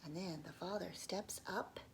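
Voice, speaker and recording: A woman telling a story to young children in an expressive read-aloud style, often dropping into hushed asides to her listeners. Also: fairly low pitch, unhurried, heavy background noise.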